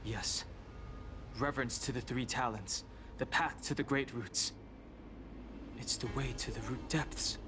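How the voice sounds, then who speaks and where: A male actor plays a stoic, serious warrior guide from a fantasy game. His mid-pitched voice is restrained and solemn, with clear ambient noise around it. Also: unhurried, pauses often, close to an everyday voice. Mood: terse. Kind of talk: video game voice acting. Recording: clearly noisy ambient background.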